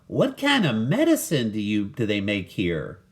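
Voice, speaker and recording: A man's voice: lowish voice, huge pitch swings, measured pace, husky, heavily acted. A man voicing a curious, easily alarmed young boy in a storybook, exclaiming and asking earnest questions.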